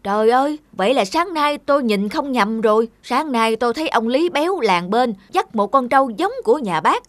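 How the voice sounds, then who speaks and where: A woman's voice: a little high, expressive, moderate pace, no room sound, lightly acted. A woman playing a helpful, earnest village neighbour in a cartoon folk tale. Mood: concerned.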